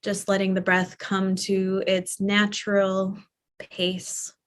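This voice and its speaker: A woman yoga teacher leads a guided relaxation in an unhurried, gentle voice. She talks very slowly, leaving long pauses between soft, soothing phrases. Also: medium pitch, completely flat delivery. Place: live online or studio class.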